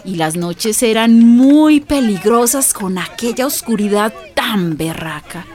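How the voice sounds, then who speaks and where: An actress playing a plain-spoken Colombian neighbourhood storyteller and protective friend in a radio drama, talking colloquially. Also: female voice, on the high side, lively intonation, slow, darkish tone. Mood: reflective storytelling. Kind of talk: radio drama narration and dialogue. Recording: music behind the voice.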